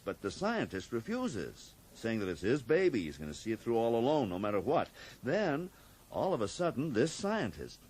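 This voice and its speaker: An actor playing an evasive, jokey American government agent in a radio drama, hinting at secrets with sly, hedging emphasis. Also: male voice, lowish voice, a little mellow. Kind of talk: 1980s radio drama acting. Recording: noisy old radio broadcast recording.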